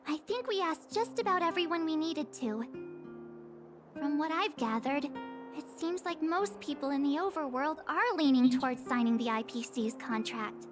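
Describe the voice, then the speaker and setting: A woman's voice: high voice, lively intonation, a little slow, long pauses. An actress playing a polite, diligent young female adventurer in a video game. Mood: earnest, attentive. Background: background music.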